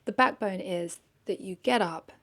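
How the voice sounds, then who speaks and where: A woman's voice: medium pitch, normal speed, a bit bright. A woman talking casually in an unscripted vlog, rambling and correcting herself as she thinks aloud. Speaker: content creator, vlogger.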